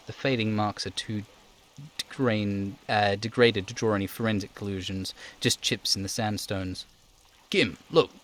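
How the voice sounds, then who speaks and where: Low-voiced man narrating a game stream. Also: fairly bright tone. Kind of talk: live game narration and casual stream chat.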